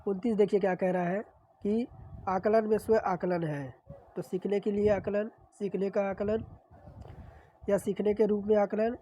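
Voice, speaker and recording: A woman's voice: mid-range voice, fairly even intonation, unhurried, mellow, slight background noise. A woman teacher with a sore throat, steadily reading out exam questions and explaining the answers. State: sore throat, clearing throat often. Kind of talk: reading and solving practice questions.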